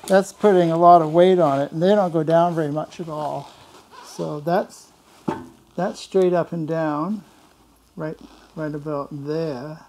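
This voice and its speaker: A man's voice: fairly high pitch, steady tone, a little slow, darkish tone, slight background noise, low-quality recording. Older man explaining in his workshop. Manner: plain-spoken, explains step by step with frequent word repeats. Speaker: motorcycle builder showing his shop work. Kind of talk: casual workshop demonstration. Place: large indoor workshop.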